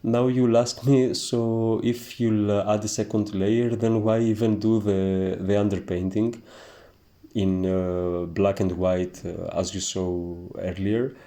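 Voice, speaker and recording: A man with a thick Greek accent talks through a painting lesson at an unhurried pace. His voice is low and mellow, and he hesitates often with soft fillers. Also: flat delivery. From Greece. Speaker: painting teacher. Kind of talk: relaxed narrated painting tutorial.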